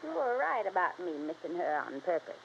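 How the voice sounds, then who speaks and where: A woman's voice: lowish voice, big pitch swings, brisk, creaky. An actress playing a scheming, folksy country woman villain in an old-time radio detective drama. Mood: menacing. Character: scheming country woman villain, feigned sweetness turning defiant. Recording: muffled, noisy old radio recording.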